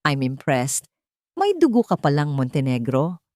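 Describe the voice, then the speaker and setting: Woman as a scheming rich sister, mocking, provocative, lifted pitch, dry storybook drama recording. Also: wildly swooping pitch, unhurried, a little mellow, dry recording, exaggerated voice. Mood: smug, mocking. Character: smug, scheming, taunting rich younger sister.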